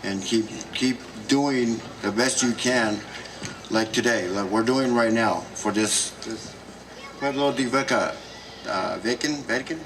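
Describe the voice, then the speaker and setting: Slow halting man, distant and noisy. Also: mid-range voice, a bit bright. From South Dakota, United States. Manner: slow, many pauses and fillers. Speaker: speaker at a gathering. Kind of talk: halting public address. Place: outdoor gathering. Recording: distant mic with noise.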